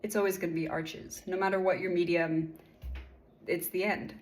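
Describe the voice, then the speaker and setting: Friendly low-voiced American woman. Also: level tone, normal speed. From California. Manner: friendly, conversational, with occasional fillers. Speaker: artist. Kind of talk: casual sponsored testimonial.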